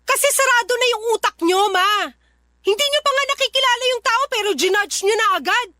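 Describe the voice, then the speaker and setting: A woman's voice: very high-pitched, brisk, pushed and bright, no room sound, studio quality. A woman playing an earnest, emotional young daughter and devoted girlfriend in a radio drama. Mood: indignant. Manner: pleading, emotional.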